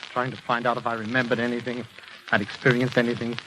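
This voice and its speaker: An actor playing a haunted, anguished patient cursed to speak the truth, in halting, intense 1940s radio style. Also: male voice, mid-range voice, level tone, close to an everyday voice. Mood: haunted, brooding. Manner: intense, halting, agonized delivery. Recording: noisy old 1940s radio recording.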